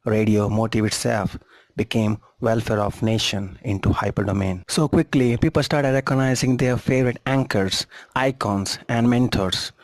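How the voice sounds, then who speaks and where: A man's voice: on the low side, fairly even intonation, moderate pace, dry recording. A man reading a scripted history narration as a documentary narrator.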